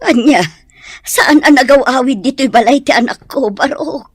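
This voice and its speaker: A woman's voice: sits high, moderate pace, husky, no room sound. A woman voicing an anxious, fretful mother of a grown son in an audio drama. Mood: alarmed. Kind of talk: Ilocano audio drama acting.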